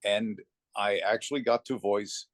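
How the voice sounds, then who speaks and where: Low-voiced middle-aged man chatting casually. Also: fairly even intonation, a little slow, no room sound, clean studio sound. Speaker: interview guest, voice actor. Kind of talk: casual interview chat, telling anecdotes.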